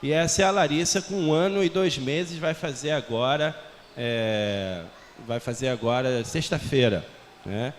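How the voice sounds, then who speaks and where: Um homem brasileiro na casa dos quarenta, missionário criado em Portugal, com sotaque brasileiro e traços do português europeu, falando de forma descontraída e brincalhona à congregação. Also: male voice, mid-range voice, a little slow, slight background noise. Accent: Brazilian Portuguese with European Portuguese traces. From Brazil. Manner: jovial, self-mocking, chatty with the congregation. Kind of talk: church missionary talk. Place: large church hall. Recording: long church reverb, faint ambience.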